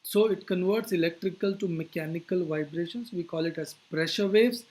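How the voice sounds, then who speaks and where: A physics teacher giving an explanatory lecture, phrasing things deliberately and posing rhetorical questions. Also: mid-range voice, a little mellow.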